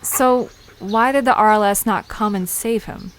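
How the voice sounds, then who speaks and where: A woman's voice: mid-range voice, a little slow, natural voice. A woman playing a curious, eager, chatty young visitor in a conversational audio drama. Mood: incredulous. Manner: inquisitive, reactive. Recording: background noise with outdoor ambience.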